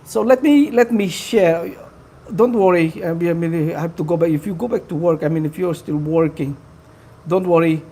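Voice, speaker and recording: A man hosting a casual live stream, talking to his viewers in a halting way with many fillers. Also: fairly high pitch, mellow. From Philippines. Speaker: livestream host.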